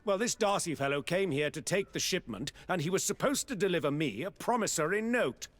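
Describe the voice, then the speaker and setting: An actor playing an evasive, grudging merchant in a video game, defensive and brusque in manner. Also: male voice, high-pitched, animated, brisk, a bit bright. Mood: defensive, irritated. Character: evasive, grudging male merchant client owed money.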